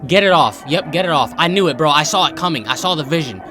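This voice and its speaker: A man's voice: fairly high pitch, expressive, fast, over music. A young American man giving casual, joking reaction commentary in exclamatory slang. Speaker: host of a reaction channel.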